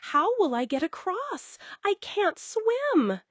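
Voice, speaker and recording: A proud, childlike little gingerbread man from a storybook, played by a woman. The delivery is singsong and exclamatory, with big swings in pitch. The sound is close and dry. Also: big pitch swings, takes it slowly, dry recording, full character voice. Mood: worried. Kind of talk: children's bedtime storybook character.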